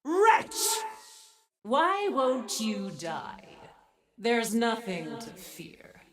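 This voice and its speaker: Evil witchy game villain, high voice swooping all over, wrathful n mocking, echoey. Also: sing-song, distant mic, full character voice. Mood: exasperated, scornful. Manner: theatrical, drawn-out, swooping delivery. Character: wicked female dark sorceress villain, wrathful and mocking. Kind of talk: villain voice lines.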